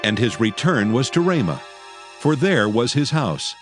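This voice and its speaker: A man narrating scripture from a script in a solemn, measured, formal manner. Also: mid-range voice, big pitch swings. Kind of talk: scripted dramatized Bible narration. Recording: faint music behind the voice. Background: faint background music.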